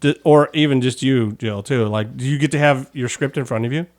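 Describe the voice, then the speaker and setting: A man's voice: mid-range voice, fairly quick, darkish tone. A man hosting a casual interview in an informal, jokey, rambling way. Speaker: podcast host.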